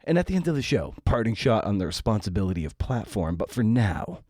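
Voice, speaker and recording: A man's voice: fairly low pitch, big pitch swings, fairly quick, darkish tone, no room sound, studio quality. A middle-aged man hosting a podcast in a conversational style, with a wry, self-deprecating manner. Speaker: podcast host and interviewer. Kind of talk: conversational podcast intro and interview.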